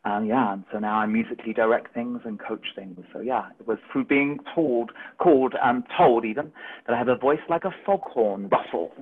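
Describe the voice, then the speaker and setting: A man's voice: mid-range voice, moderate pace, slightly rough. Man rambling over a tinny call line. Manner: chatty, rambling, many fillers. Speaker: musical director. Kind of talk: casual storytelling on a podcast. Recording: tinny phone-like remote call audio.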